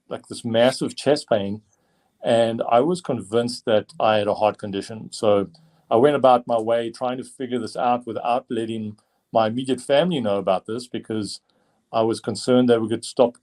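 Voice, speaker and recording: A man's voice: lowish voice, a little mellow. A man in his fifties with a South African accent, telling his story as an interview guest with many fillers and restarts. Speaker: guest chiropractor.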